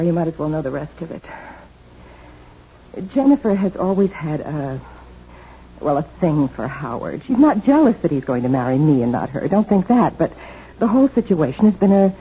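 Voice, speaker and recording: An actress with an American accent playing a brisk, coolly scheming and ruthless woman in a 1970s radio drama, speaking in a clipped, smooth explaining way. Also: deep voice, dark tone. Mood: confiding. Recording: muffled, noisy old radio broadcast.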